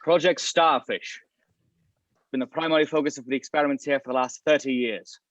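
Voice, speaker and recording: A man's voice: mid-range voice, steady tone, a little fast, a bit bright, no echo, highs cut off, natural voice. A man playing a pompous mad scientist villain in a script read-through. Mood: self-important.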